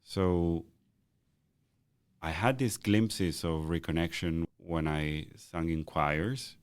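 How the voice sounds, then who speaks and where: Man, very deep booming bass, reflective, halting restarts, dry close sound. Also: smooth, no room sound. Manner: thoughtful, halting with repeated starts. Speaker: podcast co-host. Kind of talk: reflective conversational chat.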